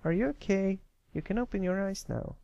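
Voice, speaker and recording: Man voicing a friendly high-pitched country filly. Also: a little fast, gravelly, mellow, no echo, highs cut off. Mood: reassuring. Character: friendly, eager young country filly pony.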